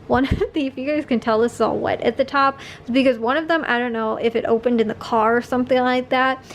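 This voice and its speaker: A woman's voice: fairly high pitch, fairly even intonation, quick pace, slight background noise. Chatty young woman, sniffly, fillers. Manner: chatty, rambling with many fillers and little laughs. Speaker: vlogger. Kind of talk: casual chat.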